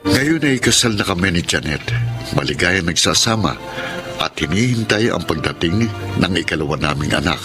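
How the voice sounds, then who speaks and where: A low-voiced, sincere man pleads gently with the woman he loves. Music plays clearly behind him. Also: animated, normal speed. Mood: narrating. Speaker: radio drama actor. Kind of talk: radio drama acting.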